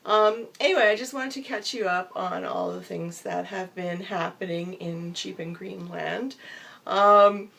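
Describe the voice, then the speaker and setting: Chatty woman losing her voice to a cold, gravelly and kinda low. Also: measured pace, a bit bright. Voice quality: hoarse, gravelly. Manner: chatty, upbeat, many fillers. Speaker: vlogger. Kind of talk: casual chat to camera.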